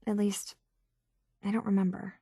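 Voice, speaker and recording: An actress playing an earnest, troubled young woman searching for answers in a video game, speaking in a halting, hushed way. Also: mid-range voice, flat delivery, breathy edge, no echo, clean studio sound. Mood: pensive, uneasy.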